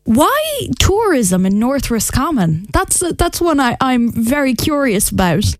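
A woman's voice: high voice, very animated, a little slow, dry recording, studio quality. A woman radio reporter asking interview questions.

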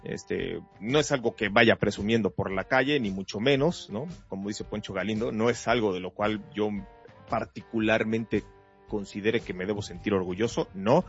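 A Mexican man with a Mexican Spanish accent, co-hosting a casual sports chat show and giving measured, reflective opinions. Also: lowish voice, lo-fi sound, soft background music. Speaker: show co-host.

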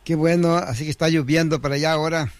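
A man's voice: on the high side, fairly even intonation, fast, dry recording. A man hosting a bilingual community radio program, from Oaxaca, Mexico, who greets listeners formally and reads out long lists of villages. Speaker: radio host.

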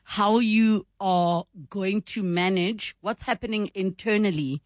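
A woman hosts a relaxed radio conversation. She is chatty, laughs easily and keeps asking her guests questions. Her voice sits mid-range and sounds dry and close. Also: medium pitch, takes it slowly, no room sound. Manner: chatty, laughs often, asks probing questions. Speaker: radio host. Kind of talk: casual on-air chat.

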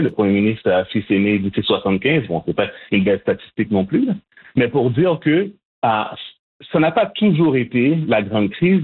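A man from Montreal, answering interview questions in a measured, hesitant way with frequent restarts. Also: lowish voice. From Montreal, Canada. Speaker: guest, Haitian community organizer. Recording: phone-line audio, husky.

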